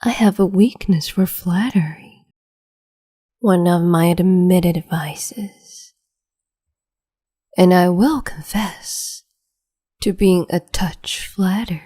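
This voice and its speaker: A woman playing a breathy, whispering cruel empress. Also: lowish voice, warm tone. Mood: silky menace. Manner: slow, mocking and condescending. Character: cruel capricious woman empress, imperious and teasing. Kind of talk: whispered ASMR roleplay.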